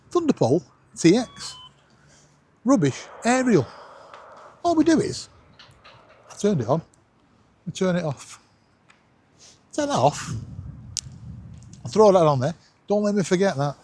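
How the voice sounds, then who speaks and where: A middle-aged man hosts a casual vlog in an excitable, jokey way. His voice sits high and swings widely in pitch, with a husky edge to it. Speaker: vlog host. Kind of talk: casual chat to camera while testing a radio.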